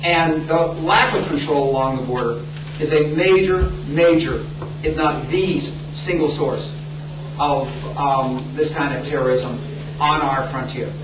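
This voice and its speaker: A man with a fairly high voice delivers an emphatic political statement, pausing on fillers now and then. He is picked up from a distance in a roomy hall. The sound is dull, with clear noise behind it. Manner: emphatic, with uh fillers and quoted outbursts. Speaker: speaker at a news conference. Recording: echoey, distant, dull, noisy.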